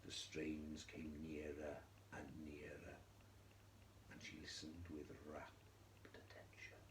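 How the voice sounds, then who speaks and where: A man telling a children's fairy tale in a theatrical way, moving between hushed and emphatic delivery. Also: deep voice, roomy sound, a little hiss or hum, rough recording. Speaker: storyteller.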